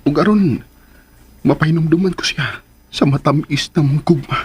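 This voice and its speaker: A man's voice: a little high, moderate pace, loud music behind the voice, natural voice. An actor with a Filipino accent playing a smitten man scheming to win back an old love in a radio drama. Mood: scheming, wistful. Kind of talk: Philippine radio drama acting.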